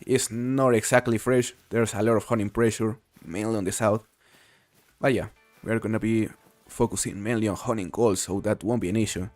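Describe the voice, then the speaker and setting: A man's voice: on the low side, moderate pace, no room sound, soft background music. A man from Mexico hosting a casual game live stream, chatting with viewers while he plays. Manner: relaxed, chatty, answers viewers while playing. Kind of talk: casual live-stream commentary, reading chat.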